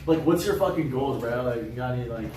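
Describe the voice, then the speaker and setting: A man's voice: mid-range voice, animated, quick pace, darkish tone. Man, streamer host, laid-back, lots of fillers, swearing, very roomy sound, faint background noise. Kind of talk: casual chat. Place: indoors in a house. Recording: echoey room, mic far off, faint noise.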